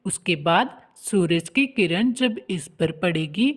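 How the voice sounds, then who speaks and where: A woman voicing a kind, helpful young male bird in a children's cartoon, talking in a gentle, earnest, explanatory way. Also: on the low side, expressive, slow. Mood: earnest, explaining. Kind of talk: children's cartoon story dialogue.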